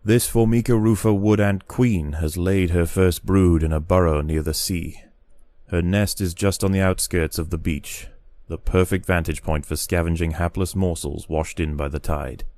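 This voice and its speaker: A man narrating in a scripted nature documentary style for a video game, measured and grave. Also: sits low, fairly even intonation, darkish tone, no room sound.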